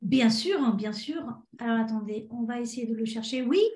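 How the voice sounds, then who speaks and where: Woman, fairly high voice, informal live moderator, echoey video-call audio. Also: moderate pace, darkish tone. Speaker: co-host moderating online meeting.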